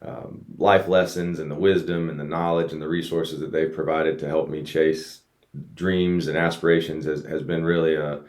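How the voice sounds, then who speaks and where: A man's voice: very deep voice, little pitch movement, normal speed, darkish tone. A man raised in the United States, a race car driver, telling his story earnestly as a guest in a casual podcast interview. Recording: roomy echo.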